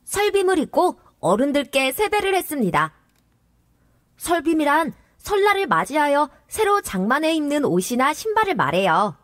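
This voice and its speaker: A woman reading scripted educational narration in an even, explanatory way. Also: sits high, rapid-fire, no echo, studio quality.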